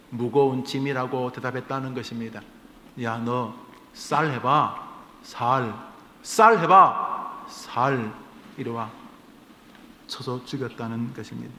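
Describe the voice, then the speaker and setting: A man's voice: medium pitch, a bit bright, slight background noise. A man, a pastor, preaching a sermon in a humorous, conversational way, acting out bits of dialogue. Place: church sanctuary. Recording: echoey church hall, faint ambience.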